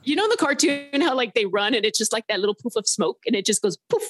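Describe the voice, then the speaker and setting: A woman from Southern California calling in to a podcast, telling her story in an animated, rambling way full of filler words. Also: on the high side, quick pace. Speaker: caller sharing a paranormal story. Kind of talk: casual storytelling on a phone-in podcast.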